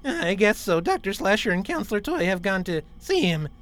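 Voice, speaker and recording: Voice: mid-range voice, sing-song, moderate pace, fairly bright tone. An actor playing a chirpy, guileless junior ensign in a parody radio play. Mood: eager.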